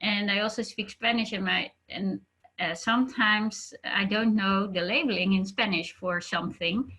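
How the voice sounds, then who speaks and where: A woman's voice: medium pitch, moderate pace, sharp tone. A woman whose first language is not English, chatting casually on a panel, hesitating and searching for words. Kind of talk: casual group discussion.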